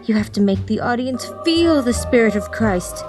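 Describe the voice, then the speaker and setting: A woman voicing a stern elderly nun in an animated audiobook, terse and scolding as an exasperated choir director. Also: fairly high pitch, moderate pace, music in the background, lightly acted. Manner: terse, scolding, calls people dear.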